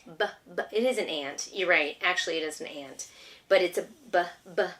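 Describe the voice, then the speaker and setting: A woman's voice: lowish voice, normal speed, a bit bright. A woman, a homeschooling mother, reading a picture book aloud to her young child with patient, encouraging, simple questions.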